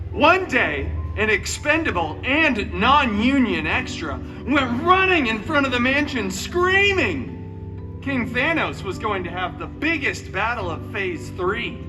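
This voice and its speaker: A man with a notably high voice tells a comic story live at a theme park. He takes his time, theatrical and sarcastic with wry asides. He sounds distant, with clear music behind him. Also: big pitch swings, a little slow. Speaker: costumed theme park performer reading a story. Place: outdoor theme park show.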